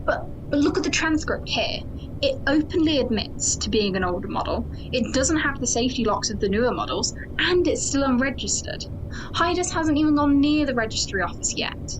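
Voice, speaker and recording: A woman's voice: sits high, expressive, a little fast, noisy background. A woman playing a hard-line, suspicious military security chief in an audio drama, speaking in a clipped, formal way. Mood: insistent, suspicious.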